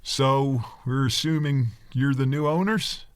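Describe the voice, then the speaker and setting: Actor as folksy small-town pastor, dry sound. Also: male voice, mid-range voice, unhurried, clean tone, dry recording, lightly acted. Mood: neighborly. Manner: folksy, courteous. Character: courteous small-town pastor and father, forties, neighborly. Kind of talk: screenplay table-read acting.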